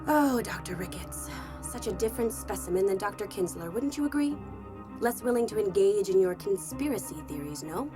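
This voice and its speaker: An actress playing a suave, controlling corporate boss with a breezy, superior manner and quiet threat in an audio drama. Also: female voice, mid-range voice, lively intonation, moderate pace, music in the background, natural voice. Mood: smug menace.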